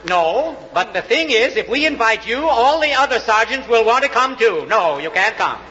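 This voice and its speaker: A noisy, muffled old radio comedy recording carries a bossy army private with a very high voice. He schemes and blusters, insisting and repeating himself as his pitch swings around. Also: lively intonation, normal speed, big-room sound. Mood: dismissive, firm. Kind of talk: 1940s radio comedy acting.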